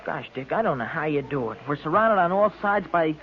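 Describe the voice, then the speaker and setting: A man's voice: a little high, expressive, fairly quick, natural voice. A male actor playing a plucky, loyal boy in a 1940s radio drama, earnest and eager. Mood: anxious, loyal. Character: plucky, loyal boy, detective's young ward. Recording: muffled old radio recording.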